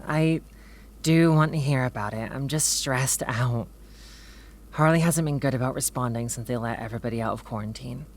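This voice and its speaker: A woman's voice: extremely low pitch, normal speed. A woman playing a stressed but caring partner in naturalistic audio drama dialogue, casual and candid. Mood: stressed, tired.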